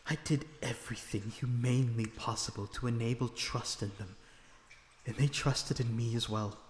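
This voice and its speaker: A man playing a proud, wronged vampire prisoner in an audio roleplay, pleading bitterly in formal, theatrical phrases with halting pauses. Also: mid-range voice, fairly even intonation, close to an everyday voice. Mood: resigned, bitter. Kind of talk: dramatic audio roleplay monologue, addressed to listener. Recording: echoey dungeon-like reverb, audible background noise.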